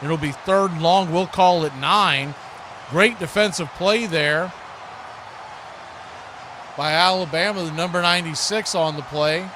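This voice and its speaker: A man's voice: on the high side, measured pace, pauses often. A man doing live play-by-play radio commentary of an American football game, describing the action in short phrases. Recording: clearly noisy live stream recording.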